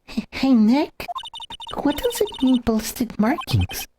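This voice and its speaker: A woman's voice: high-pitched, very animated, unhurried, background noise. A woman voicing a timid, curious teenage girl assistant in a courtroom drama game.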